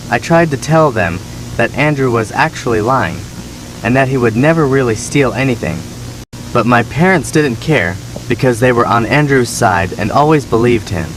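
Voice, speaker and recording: A man playing a neglected, resentful younger son, telling his story as measured, plaintive scripted horror narration. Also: mid-range voice, background noise, natural voice. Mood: aggrieved, despairing.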